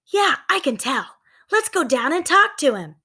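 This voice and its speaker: A woman acts out a bold young girl from a children's storybook. Her voice is high and very lively, eager and friendly, full of exclamations. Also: measured pace, exaggerated voice. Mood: earnest, friendly.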